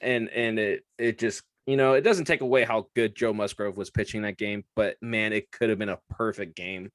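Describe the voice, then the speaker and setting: A man's voice: on the low side, fairly even intonation, moderate pace, no echo. Chatty young man, dry close sound. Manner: chatty, laughs often, restarts a lot. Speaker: podcast co-host. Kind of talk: casual sports chat.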